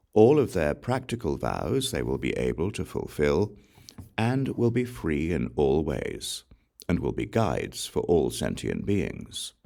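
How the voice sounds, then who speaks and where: A man reading scripture as a scripted audiobook, in a measured, solemn recitation with deliberate pauses between phrases. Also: a bass voice, creaky, darkish tone. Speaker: audiobook reader.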